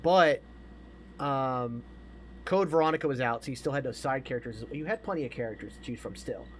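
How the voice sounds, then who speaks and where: A man's voice: medium pitch, fairly quick, a little hiss or hum. A man in his forties chatting casually as a podcast co-host, opinionated and emphatic, repeating himself to make a point. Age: 40s. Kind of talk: casual chat about movies.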